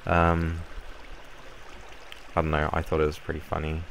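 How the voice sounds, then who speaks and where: A young man with a croaky voice from a cold, casually explaining a game build in a rambling way. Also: very deep voice, normal speed, background noise. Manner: relaxed, rambling explanation with fillers. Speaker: Minecraft YouTuber. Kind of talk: casual gameplay tutorial.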